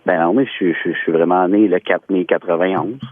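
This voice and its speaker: Deep husky Québécois man on the phone. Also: fairly even intonation, brisk, dry recording. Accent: Québécois French. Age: 30s. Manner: confused, defensive. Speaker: prank call target. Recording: tinny phone line.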